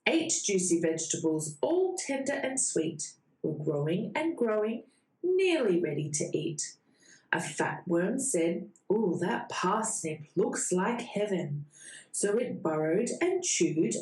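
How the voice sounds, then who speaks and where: A woman reading a bedtime storybook to young children in a sing-song way, with dramatic pauses before key words. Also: medium pitch, darkish tone. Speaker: children's read-aloud host. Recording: roomy echo.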